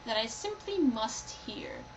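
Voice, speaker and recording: A woman voicing a sly, gloating male monster from a storybook, posing as Santa with a hushed, scheming delivery. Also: a little high, big pitch swings, a little slow. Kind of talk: storybook character voice. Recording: dull, lo-fi, loud background noise.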